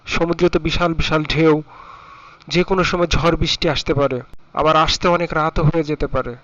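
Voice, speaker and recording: A man's voice: a little high, steady tone, moderate pace, fairly bright tone, no echo, natural voice. A man playing a caring, cautious fisherman father in a children's cartoon. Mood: caring, questioning. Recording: muffled sound with music behind.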